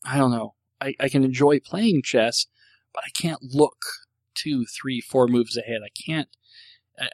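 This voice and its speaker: A man in easy, rambling solo chat, laughing at himself and restarting his sentences often. His pitch sits right in the middle for a man. The recording is dry and close, with no room sound. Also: no room sound. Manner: self-deprecating, rambling, halting with many restarts. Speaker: podcast host answering listener questions.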